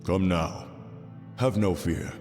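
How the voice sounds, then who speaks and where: A man playing an ancient, benevolent king of the gods in an audio roleplay, speaking to the listener in a formal, measured, fatherly way. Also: low-pitched. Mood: gentle, fatherly. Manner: formal, stately, measured delivery. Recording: long reverberant hall. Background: soft background music.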